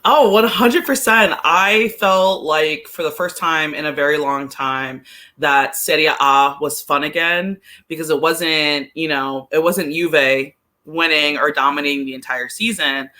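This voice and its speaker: Podcast guest, woman, bright and crisp-edged tone, chatty casual sports fan delivery. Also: low voice, a little slow. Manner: chatty, peppered with "like".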